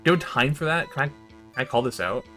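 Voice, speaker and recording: A man's voice: medium pitch, animated, fast, bright, forceful tone, faint music behind. A man doing casual game stream commentary, swearing freely and ranting in exasperation as he plays. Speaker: streamer playing and reading a visual novel aloud.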